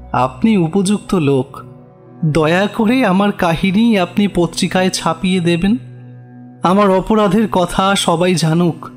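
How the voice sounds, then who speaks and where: A man's voice: high voice, pitched above the performer's own voice. A man voicing a gaunt, sickly, doomed man who confesses his guilt solemnly and politely in a horror story. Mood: resigned, confessing. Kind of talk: horror audio story character acting.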